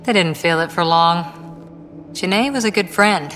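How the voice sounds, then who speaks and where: A female commando with a slightly low voice. The character is confident and earnest, speaking in a composed, reflective way in video game dialogue. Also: unhurried, a bit bright, soft background music. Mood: fond reminiscence.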